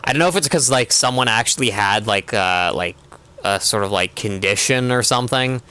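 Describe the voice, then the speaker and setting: Young man, game streamer, low bright smooth voice, rambling casual chat full of fillers. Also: normal speed. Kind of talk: casual chat while gaming.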